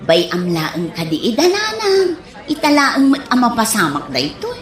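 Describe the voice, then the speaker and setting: Woman acting high-voiced, patient grown daughter. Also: wildly swooping pitch, moderate pace. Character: patient, practical grown daughter smoothing things over. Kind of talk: comedy radio drama acting. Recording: roomy echo.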